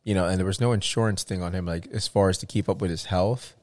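Low-voiced man in rambling casual chat. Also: fast talker, no room sound, clean studio sound. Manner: rambling, animated, many restarts and fillers.

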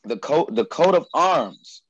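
Excitable American male host, slangy, dry recording. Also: a little high, slow pace, breathy edge, dry recording, highs cut off. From United States. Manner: excitable, slangy, repeats himself. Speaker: podcast host. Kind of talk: casual chat. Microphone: headphones with mic on a video call.

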